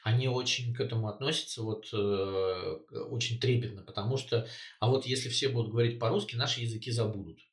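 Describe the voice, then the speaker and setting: A man in a relaxed political conversation, middle-pitched, filling his pauses with hesitation sounds and then leaning hard on his points. His voice carries a roomy echo. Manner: hesitant, many filler sounds, emphatic. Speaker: co-host. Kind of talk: casual political discussion.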